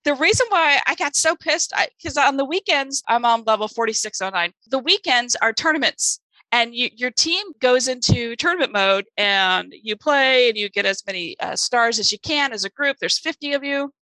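A woman whose first language is German chats casually on a podcast, her fairly high voice bright and lively. She talks in quick, self-deprecating asides. The sound is dry and close. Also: dry recording, low-quality recording. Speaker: podcast co-host, author. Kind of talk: casual chat.

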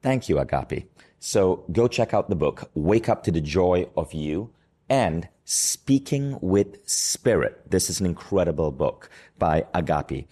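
A man hosting a podcast interview, with a very low voice and a dark, mellow tone. He speaks effusively and heaps praise on his guest. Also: lively intonation, a little slow, husky. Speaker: podcast host.

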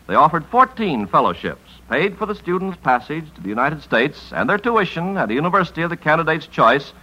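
A male 1950s radio announcer with a bright, forceful voice and hugely animated intonation. His scripted delivery is formal and emphatic, pitched a little high. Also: poor audio.

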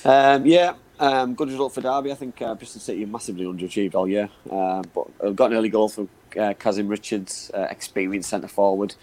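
A man's voice: lowish voice, brisk, few pauses, a little mellow, poor audio. A man giving football analysis on a chat show, often repeating words and saying you know what I mean. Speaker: football pundit.

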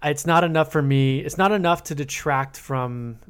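A man's voice: fairly high pitch, normal speed, clean tone. A man co-hosting a podcast, reasoning aloud about film in casual, conversational speech with repeated words. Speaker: podcast co-host.

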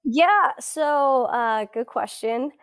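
Smooth-voiced American woman, chatty and reflective. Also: sits high, animated, drawn-out words, a bit bright, no echo. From South Florida, US. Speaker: podcast guest, academic. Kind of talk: casual interview conversation. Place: at home indoors.

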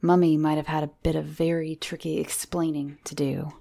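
A woman's voice: low voice, flat delivery, moderate pace, vocal fry, warm tone. A woman voicing a sly, mocking man who teases in a mock-sinister way, in a story reading. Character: teasing, sly man, mocking adult lover of mother.

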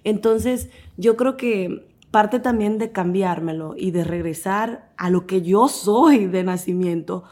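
A young adult woman from the Dominican Republic speaks with a Dominican Spanish accent. She tells her stories in a casual, animated way, laughing often and acting out the voices of the people in them. Her voice sits in an ordinary middle range. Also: mid-range voice, takes it slowly, hardly any pauses. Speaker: guest. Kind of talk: casual interview storytelling.

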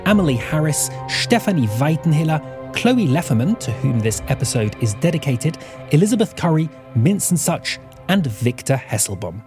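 A man reading scripted podcast credits as the show's announcer. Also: on the high side. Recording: music under the voice.

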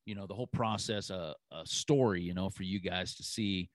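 A man's voice: deep voice, moderate pace, no room sound, clean studio sound. A man hosting a hunting podcast, chatting casually and easygoing, with lots of "you know" fillers. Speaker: podcast host.

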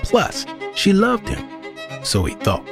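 A woman's voice: mid-range voice, huge pitch swings, slow pace, creaky. A woman narrating a scripted audio drama as its storyteller. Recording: music behind the voice.

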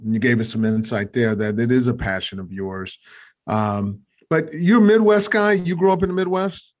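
A man with an American accent and a medium-pitched voice works as an interviewer. He chats casually, affirms what he hears, and builds his questions in a halting way.

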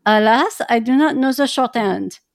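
A woman's voice: a little high, moderate pace, creaky. A woman voicing an earnest, effusive old Dutch professor who speaks in broken, formal English. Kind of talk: reading a novel aloud in roles.

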